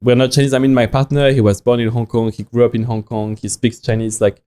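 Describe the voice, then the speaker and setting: Soft-toned Frenchman with many restarts. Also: mid-range voice, little pitch movement, smooth. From France. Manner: halting, many restarts and fillers. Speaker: watch company founder. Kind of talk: podcast interview.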